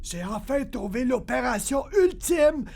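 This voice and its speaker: A man voicing an excitable, teasing, scheming cartoon troublemaker with a Quebec French accent. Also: very high-pitched, measured pace, creaky, full character voice. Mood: triumphant, scheming. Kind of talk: comic cartoon acting.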